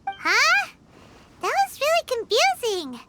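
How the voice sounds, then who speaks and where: A woman voicing a tiny, excitable, childlike fairy companion in a video game, chirpy and cheeky. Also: takes it slowly, very noisy, exaggerated voice. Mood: baffled, cheeky. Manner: chirpy, squeaky, refers to herself by name. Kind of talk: video game character voice acting. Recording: music behind the voice.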